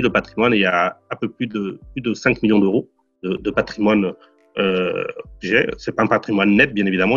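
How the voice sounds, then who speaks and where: A man's voice: lowish voice, a bit bright, husky, dull audio. A man in his forties from Portugal, a real estate investor, answering interview questions earnestly with frequent fillers and repeated words.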